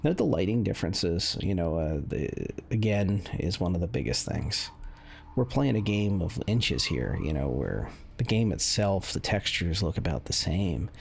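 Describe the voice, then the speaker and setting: A man giving casual, off-the-cuff game commentary, conversational and thinking aloud in a measured way. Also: sits low, sing-song, creaky, slight background noise. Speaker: gaming video host, commentator. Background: music under the voice.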